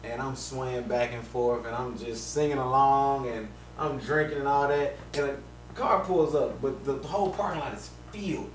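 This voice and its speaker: A man co-hosting a podcast in casual chat, loud and excitable, swearing freely. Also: medium pitch, moderate pace, distant mic. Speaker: podcast co-host. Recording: roomy echo, off-mic, faint hum.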